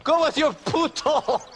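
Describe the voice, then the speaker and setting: A slippery Mexican-accented outlaw from a Western game, wheedling and spiteful. His voice rides high and swings widely, over a noisy background. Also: creaky, full character voice. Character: cornered treacherous male outlaw, pleading then spiteful. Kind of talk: Western video game acting.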